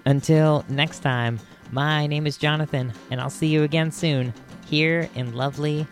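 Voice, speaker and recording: A man's voice: fairly high pitch, steady tone, normal speed. An American man hosting a podcast with casual commentary, speaking in an informal, chatty and somewhat hesitant way. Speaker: podcast host. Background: music.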